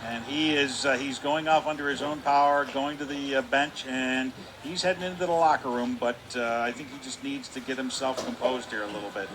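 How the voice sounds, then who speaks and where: A man giving relaxed radio color commentary on a hockey game, trailing off into fillers as he talks. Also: mid-range voice, fairly quick, few pauses, noisy background. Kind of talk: casual analysis. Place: hockey arena broadcast booth.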